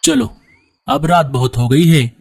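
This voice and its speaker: A man's voice: on the high side, big pitch swings, a little slow, gravelly, full character voice. A man voicing a greedy, grumbling father bird in a cartoon. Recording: loud background music.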